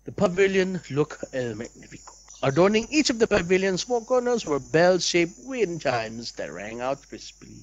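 High-pitched, lively man reading aloud. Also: normal speed, hardly any pauses, dull audio, slight background noise. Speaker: let's player. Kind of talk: reading visual novel narration aloud with casual asides.

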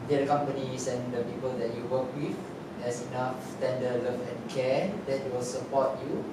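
A man on a theatre panel, reassuring and measured in his advice. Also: medium pitch. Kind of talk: post-show panel talk. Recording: echoey room, distant mic, noisy.

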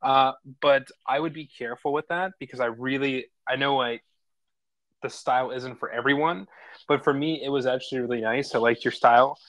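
A man's voice: medium pitch, steady tone, normal speed, fairly bright tone, dry recording, low-quality recording. A man chatting casually and enthusiastically in a rambling, informal way as host of an online stream. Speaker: host and narrator of online script table reads. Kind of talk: casual feedback chat.

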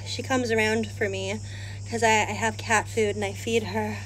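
A woman's voice: medium pitch, fairly even intonation, a little slow, a little hiss or hum, low-quality recording. A woman live-streaming casual chat while she cooks and climbs stairs, a little out of breath, asking viewers questions. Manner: chatty, self-deprecating, asks viewers questions. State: panting from heat and stairs. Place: house and outdoor stairs and terrace.

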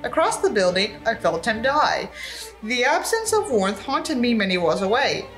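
A woman's voice: on the high side, very animated, moderate pace, fairly bright tone, soft background music, low-quality recording. A young adult woman reading a book aloud dramatically and breaking in with sarcastic, exasperated asides. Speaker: video creator reading a book aloud with commentary.

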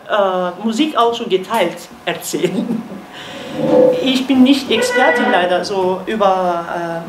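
A woman in her thirties from Turkey with a Kurdish accent, lecturing at a university seminar in halting speech with many fillers. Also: medium pitch. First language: Kurmanji Kurdish. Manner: halting, many fillers, gestures while explaining. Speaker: guest lecturer. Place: university seminar room, indoors. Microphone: far camera. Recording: echoey classroom, faint noise.

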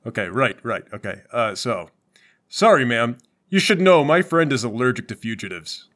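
A man's voice: mid-range voice, lively intonation, moderate pace, a bit bright. A man playing a tabletop game, joking casually and slipping theatrically into his character. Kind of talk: casual comic banter and in-character roleplay.

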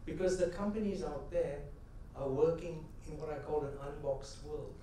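A middle-aged man tells stories from a conference stage, asking the crowd rhetorical questions as he goes. His voice is mellow and dark, and it carries through a very echoey hall. He sounds a little distant from the mic, with faint noise underneath. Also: fairly high pitch, moderate pace. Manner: animated storytelling, gestures, rhetorical questions. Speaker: conference speaker. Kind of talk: stage keynote talk with anecdotes. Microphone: headset mic.

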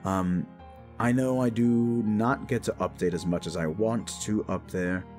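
A man's voice: fairly low pitch, a little slow, darkish tone, faint music behind. A man who is a little sick, giving a casual, rambling outro to listeners as an audio creator. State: a little sick, voice not fully recovered.

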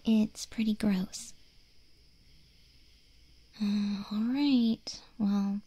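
Woman as a breathy, whispery wolf girl. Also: medium pitch, no echo. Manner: soft, breathy half-whisper, gentle and unhurried. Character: caring, teasing young wolf girl monster. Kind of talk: ASMR roleplay. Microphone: close, dry studio microphone.

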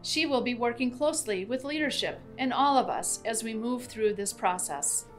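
A woman's voice: a little high, moderate pace. A middle-aged American woman giving a scripted announcement to camera indoors. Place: bright indoor room with large windows. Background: background music.

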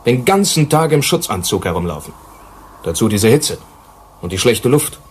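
An actor in a German radio play, playing an earnest colony manager who explains things carefully. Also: male voice, mid-range voice, sing-song, moderate pace, darkish tone, husky. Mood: troubled. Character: earnest male colony manager explaining grim working conditions.

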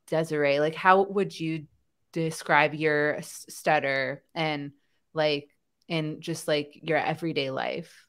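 Low, smooth-voiced woman podcast host. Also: fairly even intonation, a bit bright. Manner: pauses and restarts, swaps words; a mild stutter. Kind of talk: casual interview chat. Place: home room. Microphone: headset with boom mic on a video call.

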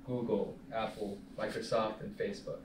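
A man's voice: lowish voice, level tone, normal speed. A young man reading stage directions as scripted narration at a film table read. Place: small meeting room, indoors. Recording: roomy, distant mic, faint noise.

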